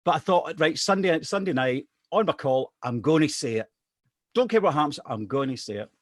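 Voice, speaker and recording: A man's voice: on the high side, lively intonation, normal speed, no room sound, clean studio sound. A middle-aged man from Scotland, telling a personal anecdote in a casual, joking way with restarts. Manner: chatty, self-deprecating humour, restarts. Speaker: storyteller.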